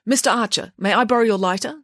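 A woman's voice: fairly high pitch, fast talker, fairly bright tone, no room sound, studio quality, voice pushed up. A woman voicing a clever, self-assured schoolgirl detective in a storybook, explaining bluntly and matter-of-factly.

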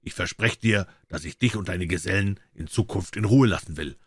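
Grumpy forest giant for a fairy tale, read by a man: big, gruff, scornful, on the low side. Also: normal speed, no room sound, studio quality. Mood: grudging. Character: huge, grumpy, sleepy male forest giant, easily fooled.

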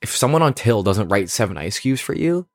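Young man, podcast co-host, casual storytelling, lively intonation, slangy swearing, dry close recording. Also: lowish voice, a little fast, dry recording. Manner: profane, slangy, exaggerating.

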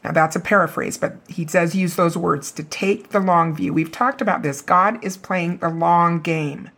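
A woman with a hoarse, raw voice, hosting a casual religious podcast and rereading quoted passages for emphasis. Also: fairly low pitch, normal speed, fairly bright tone. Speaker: podcast host.

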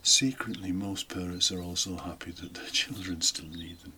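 A British man delivers a homily in a fairly low, bright voice. He explains in a reflective, conversational way, dropping in informal asides. There is faint noise behind him. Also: steady tone, moderate pace. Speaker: homily podcast host, deacon. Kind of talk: informal homily, partly scripted.

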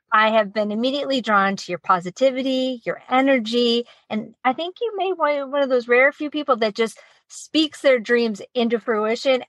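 A woman's voice: on the high side, no room sound, rough recording. A woman hosting a podcast, reading an upbeat intro and interviewing a guest with enthusiasm. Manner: enthusiastic, warm praise of guest. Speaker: podcast host.

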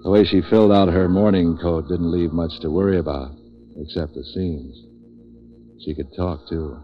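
An actor playing a streetwise, wisecracking man for hire in 1940s radio noir, terse and deadpan. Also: deep voice, moderate pace, faint music behind, close to an everyday voice. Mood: wry, deadpan. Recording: muffled vintage radio recording.

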